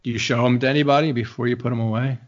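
A man interviewing an author in a relaxed, conversational way, asking long, meandering questions full of restarts and hedges. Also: mid-range voice, racing, lo-fi sound. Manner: rambling, searching questions with many restarts.

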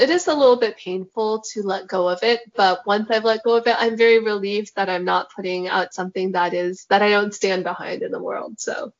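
Female author, Mandarin her first language; mid voice, considered and reflective answers; muffled recording. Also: medium pitch. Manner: thoughtful, measured, reflective answers. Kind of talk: interview answers.

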